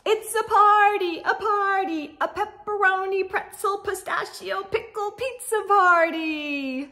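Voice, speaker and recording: A middle-aged woman reading a children's storybook aloud with expressive, exaggerated emphasis and sing-song refrains. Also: extremely high pitch, takes it slowly. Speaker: storytime read-aloud host. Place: indoor room with bookshelves.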